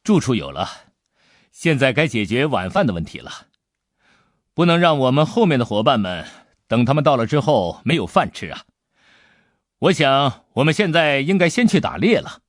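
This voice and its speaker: A man voicing a kindly, composed gentleman adventurer in an audiobook, speaking in a measured, fatherly manner. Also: mid-range voice, sing-song, no echo, studio quality, natural voice. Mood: calm, planning. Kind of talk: audiobook character dialogue.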